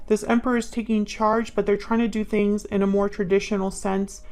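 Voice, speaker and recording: A woman giving an improvised tarot reading to viewers, musing and thinking aloud in a halting way. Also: mid-range voice, flat delivery, darkish tone, faint music behind. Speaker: tarot reader, host.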